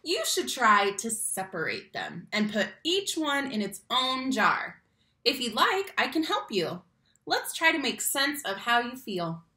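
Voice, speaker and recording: Young woman, children's read-aloud host. Bright, expressive delivery with emphatic key words, roomy home recording. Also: a little high. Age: young adult. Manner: animated, slow emphatic phrasing on key words, addresses children directly. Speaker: read-aloud teacher and storyteller. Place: small room at home, indoors. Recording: roomy home webcam sound.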